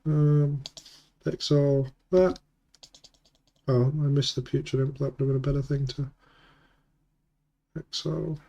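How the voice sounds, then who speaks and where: A man's voice: mid-range voice, steady tone, measured pace, gravelly, pauses often, mellow, muffled recording. A man with a cold and a sore throat, muttering casual play-by-play commentary as he plays an online card game and thinks aloud. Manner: muttering, self-correcting play-by-play.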